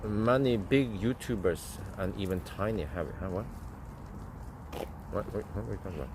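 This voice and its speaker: A man, rambling through casual live-stream chat as host and thinking aloud with fillers and restarts. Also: normal speed. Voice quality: deep voice. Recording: steady vehicle and street noise.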